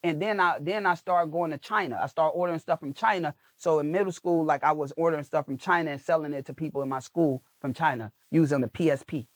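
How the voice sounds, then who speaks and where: Guy w a high voice preaching about content, repeats himself, dry close mic. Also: fast, hardly any pauses, no echo. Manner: emphatic, preachy, repeats phrases. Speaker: guest, content coach. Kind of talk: motivational podcast interview.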